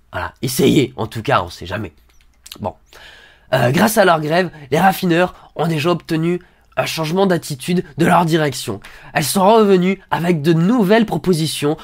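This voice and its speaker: A man gives a sarcastic read-along of an article, hesitating often and dropping mock-innocent remarks. His voice sits a little high and has a gravelly, creaky texture. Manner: hesitant, many fillers, mock-naive asides. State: has a cold. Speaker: satirical commentator reading an article aloud.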